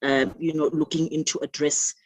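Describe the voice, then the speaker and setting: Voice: mid-range voice, level tone, normal speed, dry recording. A panel moderator who thanks speakers, asks questions and gives polite short affirmations.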